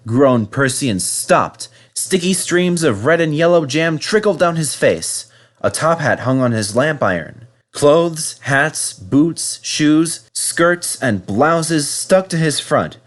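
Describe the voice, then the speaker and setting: A man reading a children's story aloud in an animated, scripted narration style. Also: on the high side, slow pace. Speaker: storybook reader.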